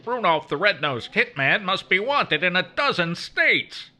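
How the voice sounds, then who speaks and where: A man playing a brisk, inquiring police captain in a comedic radio play. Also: high-pitched, sing-song, sharp tone, heavily acted. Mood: businesslike.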